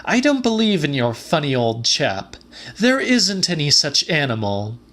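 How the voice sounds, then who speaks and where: An insistent, exasperated woman, played by a male audiobook reader. The voice is lifted well up in pitch. It presses urgently through the dramatic dialogue. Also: sing-song, a little slow, a bit bright. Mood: exasperated, firm.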